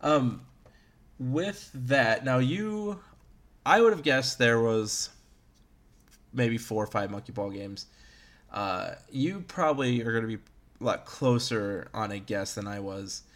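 A man's voice: medium pitch, measured pace, fairly bright tone. Rambling man in his early thirties. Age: early 30s. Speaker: podcast host. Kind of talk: casual chat about video games.

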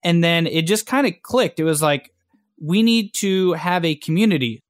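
A man's voice: a little high, lively intonation, moderate pace, no echo, studio quality. A man being interviewed in a casual chat, explaining things in an informal, eager way. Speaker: podcaster and online community founder being interviewed.

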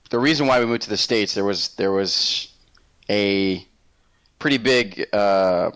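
A man from Puerto Rico, a native Spanish speaker, telling his personal story as an interview guest in a reflective, measured, conversational way. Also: fairly low pitch, a bit bright, clean tone, dry recording. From Puerto Rico, later Massachusetts.

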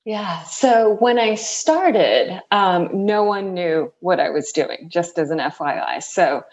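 A woman in her forties from Southern California answers questions in a lowish voice. She keeps a wry, self-deprecating tone. Her audio comes through a little dull and muffled, as over a remote call. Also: expressive, takes it slowly, darkish tone. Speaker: guest. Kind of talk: interview answers.

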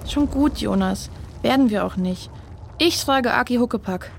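Children's audio drama: woman plays caring, decisive big-sister girl, high voice, reassuring delivery, slight background noise. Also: moderate pace, gravelly. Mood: resolute. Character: Fenja, caring determined young girl, protective big sister.

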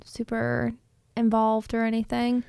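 A woman co-hosting a podcast in casual chat, talkative and quick to laugh. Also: fairly high pitch, monotone, gravelly, a little mellow, clean tone, no echo, clean studio sound. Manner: giggly, chatty. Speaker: podcast co-host.

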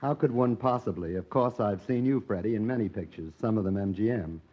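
A man's voice: lowish voice, brisk. A man hosting an old-time radio show in a formal, courtly, polished way, on a dull vintage recording. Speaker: radio host.

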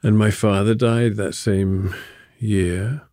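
An older man from England talks through his memories in a slowish, halting manner, pausing and laughing softly as he searches for words. His voice sits a little low, and the recording is close and dry with no room sound. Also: steady tone, no echo. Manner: thoughtful, halting, laughs softly between thoughts. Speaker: artist being interviewed. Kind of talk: reflective conversational interview.

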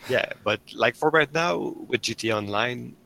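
A man from Quebec with a French-Canadian accent, a podcast guest whose first language is French, answering in a relaxed, hesitant way. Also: medium pitch, moderate pace, creaky, slight background noise. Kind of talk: casual chat.

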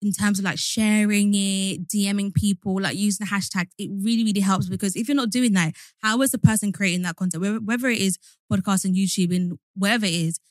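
A young adult woman hosting a podcast in casual, slangy, animated chat. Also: medium pitch, a little fast, few pauses, no room sound. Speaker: podcast host.

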